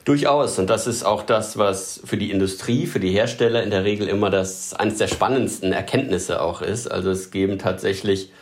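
Deep-voiced consultant, halting. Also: male voice. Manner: halting, restarts with fillers. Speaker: industry consultant. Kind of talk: interview answers.

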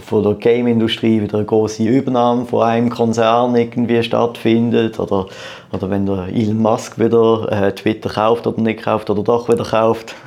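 Man, thirties, Swiss German accent, fairly low pitch, fry in the voice, casual interview talk, halting repetitions. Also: flat delivery, darkish tone. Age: 30s. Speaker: podcast guest, start-up entrepreneur.

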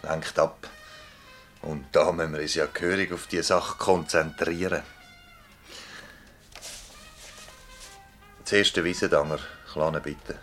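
Deep-voiced Swiss German public prosecutor. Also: male voice, steady tone, a bit bright, soft background music. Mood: businesslike. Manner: brisk, formal, commanding. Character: authoritative male public prosecutor questioning village witnesses. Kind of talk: radio drama acting in dialect.

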